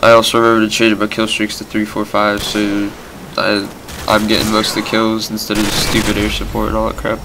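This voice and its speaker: Sick young man with a flat, nasal voice. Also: fairly low pitch, brisk, hardly any pauses, crowd noise, low-quality recording. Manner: rambling, filler-heavy. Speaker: gameplay commentator. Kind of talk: casual gameplay commentary. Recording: background game gunfire noise.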